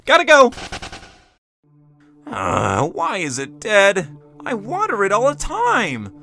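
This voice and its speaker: A man's voice: high-pitched, huge pitch swings, slow, vocal fry, sharp tone, faint music behind, full character voice. A man voicing an awkward, lovestruck impostor crewmate in a comic dub, hesitant and prone to melodramatic outbursts. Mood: frantic, despairing.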